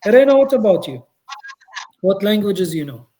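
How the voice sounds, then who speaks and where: A man's voice: sits high, very animated, moderate pace, dark tone, a little hiss or hum. A man leading a conversation group, speaking his mind and often adding "you know". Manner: outspoken, thoughtful, frequent "you know". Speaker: English conversation trainer. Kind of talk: online group conversation practice.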